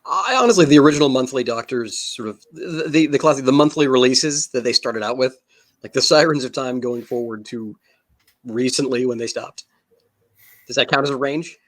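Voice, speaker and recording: A man's voice: medium pitch, moderate pace. A man chatting casually as a panel guest, with an informal, joking manner. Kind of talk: casual chat.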